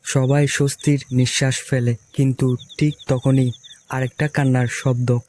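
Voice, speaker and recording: A man's voice: medium pitch, flat delivery, unhurried, darkish tone. A man giving scripted narration for a fairy tale.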